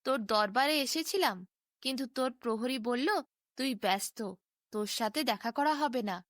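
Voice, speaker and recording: A woman's voice: a little high, animated, moderate pace, dry recording, clean studio sound, voice pushed down, close to an everyday voice. A woman voicing a stern male holy hermit who admonishes and commands in a storybook tale. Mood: reproachful.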